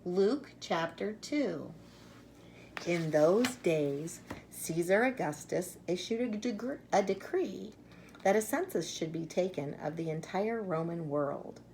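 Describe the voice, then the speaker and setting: Lady reading a kids story, slow and lowish, dramatic pauses, bit of background hiss. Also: expressive, a little mellow. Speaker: storybook reader. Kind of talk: expressive children's read-aloud.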